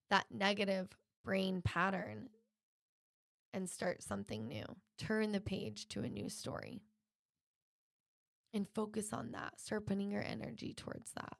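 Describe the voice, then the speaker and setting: A woman's voice: medium pitch, creaky, no room sound, studio quality. A woman hosting a motivational self-help podcast alone, speaking in an encouraging way and repeating points for emphasis. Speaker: podcast host.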